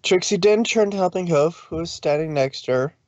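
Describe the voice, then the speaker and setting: A man's voice: on the high side, brisk, dry recording. A man narrating a story informally, with a loose delivery in which he sometimes corrects himself. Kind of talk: informal fan fiction reading.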